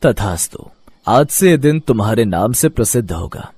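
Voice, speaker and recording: A man voicing a benevolent, affectionate god and loving brother, with stately, formal speech, in an animated mythological story. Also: mid-range voice, moderate pace, husky. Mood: solemn blessing.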